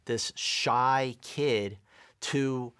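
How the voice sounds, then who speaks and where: A man's voice: mid-range voice, drawn-out words, fairly bright tone, clear, resonant tone. A man giving an emphatic, opinionated take in a panel movie review discussion.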